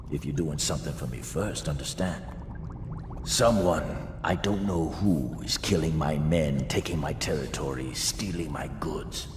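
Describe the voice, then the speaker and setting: Very deep-voiced swaggering gang boss. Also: male voice, noisy background. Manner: swaggering, rough colloquial talk. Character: menacing, shrewd street gang boss. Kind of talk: video game villain dialogue. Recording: echoey cavernous room.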